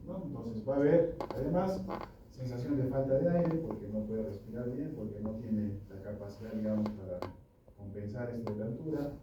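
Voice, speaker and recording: A man giving an informal medical lecture, talking conversationally and often checking in with his listeners. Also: medium pitch. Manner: conversational, keeps asking "¿no?" and "¿ya?". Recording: echoey room from far off, noisy.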